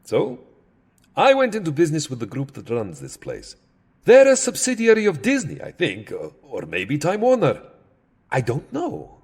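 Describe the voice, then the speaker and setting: An ancient vampire count, holds forth in a comic audio drama. His slightly rough voice leaps dramatically up and down, wry, fussy and long-winded. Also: on the high side, huge pitch swings, normal speed, a little mellow, heavily acted. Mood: wry, chatty. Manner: theatrical, wry, fussily verbose. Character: Count Dracula, centuries-old male vampire, fussy, sardonic showman. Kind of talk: comedic audio drama acting.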